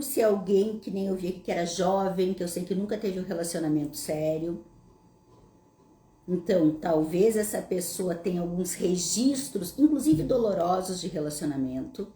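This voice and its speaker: A woman with a Gaúcho accent from southern Brazil talks straight to her audience in a relaxed, explaining way. Her voice is warm and mellow. She is recorded in an echoey room. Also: mid-range voice. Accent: Gaúcho Brazilian Portuguese. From Brazil, Rio Grande do Sul. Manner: conversational, asks the audience rhetorical questions. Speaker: psychologist host answering audience questions. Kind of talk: casual explanatory monologue.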